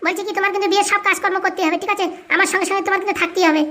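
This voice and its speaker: A woman's voice: extremely high pitch, fairly even intonation, rapid-fire, pushed and bright. A woman playing a bossy, insistent male fixer who reassures everyone in a comic cartoon. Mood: bossy, impatient. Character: bossy, brisk male fixer arranging a village wedding.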